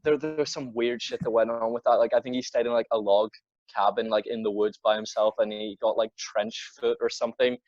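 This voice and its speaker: A man's voice: mid-range voice, fairly even intonation, brisk, a little mellow, dry recording, muffled recording. A teenage male podcast co-host chatting casually about films in a blunt, sweary way, often repeating words as he goes. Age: teens. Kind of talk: casual film discussion.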